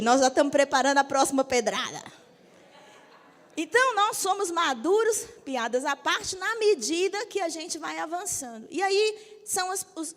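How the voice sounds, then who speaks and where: Brazilian woman, 50s, high voice, lively church teacher, long hall reverb. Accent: Brazilian Portuguese. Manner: lively, jokes with the audience, asks for agreement. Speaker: bishop.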